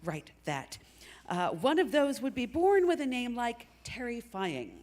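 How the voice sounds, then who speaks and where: A woman's voice: on the high side, very animated, unhurried, darkish tone. A woman playing a politely skeptical talk show interviewer in a live comedy sketch, with a dry edge. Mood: dryly skeptical. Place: recreation center hall, live stage performance.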